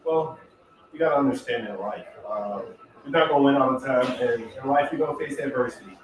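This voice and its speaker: Echoey distant football player. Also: male voice, mid-range voice, fairly quick, noisy background. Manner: reflective, uses motivational metaphors. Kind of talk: press conference answers. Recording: echoey room, far from mic.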